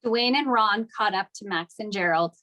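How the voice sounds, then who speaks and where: A woman's voice: mid-range voice, moderate pace, pushed and bright. A woman narrating scripted stage directions at a table read of a screenplay.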